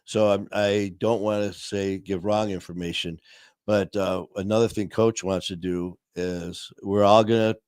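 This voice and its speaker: A man hosting a casual live stream, talking warmly to viewers in a rambling way with many fillers and restarts. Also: fairly low pitch, fairly even intonation, unhurried, smooth, no room sound, studio quality. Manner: friendly and upbeat, addressing viewers. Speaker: livestream host.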